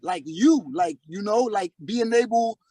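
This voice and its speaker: A man's voice: sits high, measured pace, mellow, no room sound. A man in his forties, a former student of the host, talking reflectively and filling his speech with "like" and "you know". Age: 40s. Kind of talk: casual reflective chat.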